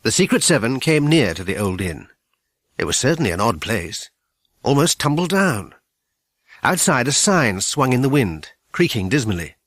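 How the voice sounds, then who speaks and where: Male narrator for children's fiction: expressive, varied pitch, dry and close. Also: normal speed, no room sound. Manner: expressive storytelling. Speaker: audiobook narrator. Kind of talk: scripted children's story narration.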